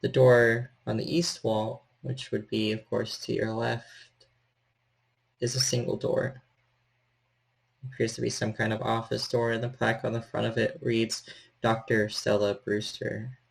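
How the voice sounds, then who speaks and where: A man working as storyteller, narrating a horror role-playing game in a measured, descriptive way. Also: mid-range voice, little pitch movement, gravelly, low-quality recording.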